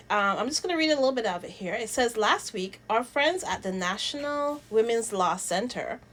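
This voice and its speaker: A woman from Barbados hosting a casual news commentary vlog, talking and reading aloud in a halting way, repeating words for emphasis. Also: a little high, a bit bright. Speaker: host of a royal news commentary channel.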